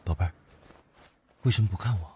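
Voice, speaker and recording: A man playing a steady, protective older brother and boyfriend in an audio drama, calm, firm and coaxing. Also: low-pitched, brisk, slightly rough. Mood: tender, reassuring. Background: background music.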